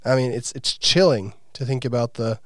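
A man interviewing a guest, speaking earnestly with frequent pauses and fillers. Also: mid-range voice, fairly bright tone, no room sound, studio quality. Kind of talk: conversational interview.

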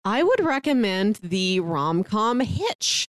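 A woman's voice: mid-range voice, big pitch swings, takes it slowly, dry recording. American woman chatting in a dry-sounding room. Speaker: podcast co-host. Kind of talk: casual movie review chat.

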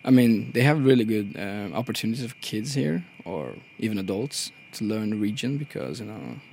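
A man's voice: on the low side, animated, moderate pace, creaky, a little mellow, slight background noise. A man in his twenties from Brazil, a guest chatting casually on a podcast in a radio studio, laughing often and filling his talk with casual asides. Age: 20s. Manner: easygoing, laughs often, many fillers like "you know".

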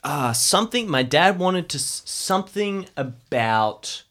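A man acts out a breezy young man in an improvised audio drama. His patter is casual and drawn out, lifting and dropping in lively swings as he fishes for information. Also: fairly high pitch, unhurried, voice pushed up. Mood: breezy, chatty.

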